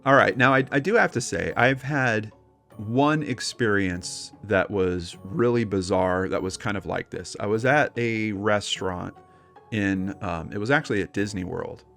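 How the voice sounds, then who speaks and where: A man's voice: lowish voice, unhurried, creaky, fairly bright tone, soft background music. A man co-hosting a casual paranormal discussion, talking informally and telling personal anecdotes with plenty of conversational asides. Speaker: podcast co-host.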